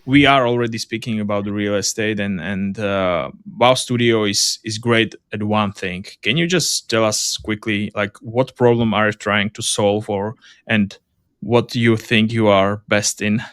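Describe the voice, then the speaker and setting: Clear-voiced male host. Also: fairly low pitch, fairly even intonation, measured pace, fairly bright tone, no room sound, studio quality. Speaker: podcast host, interviewer. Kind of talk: casual interview.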